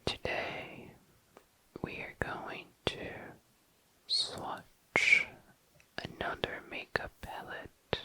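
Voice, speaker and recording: An ASMR host whispers extremely slowly, right up close to the mic. The whisper is breathy and crisp, with a sharp, bright edge. Words are drawn out deliberately and sometimes repeated. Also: dry recording, low-quality recording. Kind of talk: ASMR, slow soft whispering. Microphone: close microphone.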